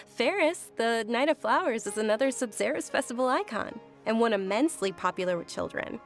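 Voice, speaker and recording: An actress voicing a gentle, earnest young noblewoman in a video game, with a polite, softly enthusiastic manner. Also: on the high side, expressive, moderate pace, soft background music. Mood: pleasant, explaining.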